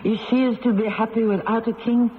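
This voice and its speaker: An actress playing a proud, resigned condemned queen in her late thirties, speaking formally and deliberately in a radio drama. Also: a little high, fairly even intonation, narrow, tinny audio, slight background noise, lightly acted. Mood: firm, defiant. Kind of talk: 1970s radio drama acting. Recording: band-limited old radio recording, reverberant, music behind.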